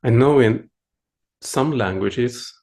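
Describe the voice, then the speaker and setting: A middle-aged man from Sweden with a Swedish accent, a native Swedish speaker, giving a gentle, playful meditation talk over a video call, often chuckling and pausing to search for words. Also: mid-range voice. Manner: unhurried, with pauses and searching for words. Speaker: meditation teacher. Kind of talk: dharma talk at an online retreat.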